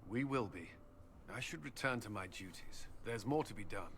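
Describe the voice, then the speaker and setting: An actor playing a devout, weary military commander in a video game, solemn, restrained and formal. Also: male voice, on the low side, expressive, normal speed, fairly bright tone, a little hiss or hum. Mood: sober, resolute. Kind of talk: earnest video game drama.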